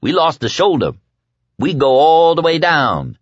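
A man's voice: a little high, very animated, a little slow, breathy edge, dry recording, dull audio, pitched above the performer's own voice, exaggerated voice. A man voicing a manic, swaggering young bully in an audiobook, cursing, boastful and explosively bad-tempered. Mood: seething, stubborn. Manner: screaming, cursing, boastful and patronizing.

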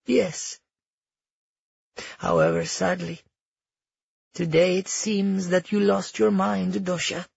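A man playing a cold, manipulative elderly royal grandmother in an audiobook, speaking with calm, measured, falsely tender menace. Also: high-pitched, vocal fry, breathy edge, no echo, lo-fi sound, pitched above the performer's own voice, full character voice.

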